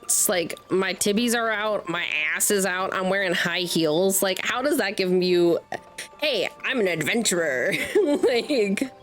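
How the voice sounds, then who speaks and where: A woman's voice: medium pitch, a little slow. A woman doing casual live-stream game commentary, chatty and candid, swearing freely. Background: game music.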